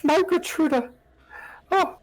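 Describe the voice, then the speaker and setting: A heartbroken mother wails and begs in a high, quavering, tearful voice, put on by a man running a tabletop game. Her words come slow and strained between sobs, with the feel of a fantasy storyteller's live table. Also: low-quality recording. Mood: sobbing. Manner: wailing, pleading, tearful. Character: grief-stricken older village woman, sobbing mother.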